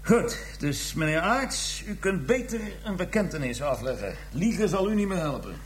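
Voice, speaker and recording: An actor playing a confident, sardonic police detective, formal and needling, in a radio drama. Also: male voice, sits high, big pitch swings, normal speed, slight background noise. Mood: brisk, businesslike. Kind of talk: radio drama acting, interrogation scene.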